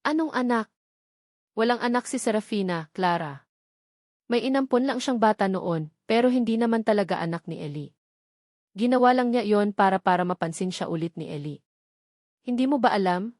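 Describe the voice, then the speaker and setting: A woman's voice: mid-range voice, expressive, normal speed, long pauses, darkish tone, no room sound, studio quality, natural voice. A woman voicing an evasive man who poses as a caring friend in a storybook drama, explaining himself. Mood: surprised. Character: Nico, evasive male friend, falsely caring.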